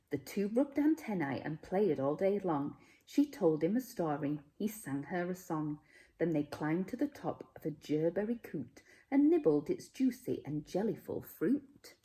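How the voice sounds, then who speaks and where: A woman reading a rhyming children's story as a teacher would, with measured, dramatic phrasing. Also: low voice, mellow. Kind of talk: expressive rhyming storybook narration.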